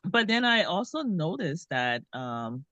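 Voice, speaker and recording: Slow, thoughtful woman from Liberia. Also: lowish voice, sing-song, a bit bright, no echo, lo-fi sound. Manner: thoughtful, many "um" pauses. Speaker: guest, author. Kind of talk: conversational interview.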